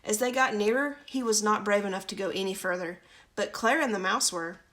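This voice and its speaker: A woman's voice: medium pitch, brisk, a bit bright. A woman reading a children's storybook aloud on a livestream, chatty and informal in her asides. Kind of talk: children's picture-book read-aloud with casual asides.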